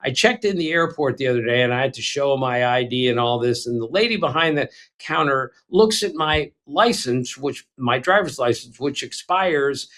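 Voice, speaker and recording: An older man co-hosting a casual chat show, telling stories with animation and slipping into quick impressions. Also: medium pitch. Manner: animated storyteller, breaks into impressions. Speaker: podcast co-host.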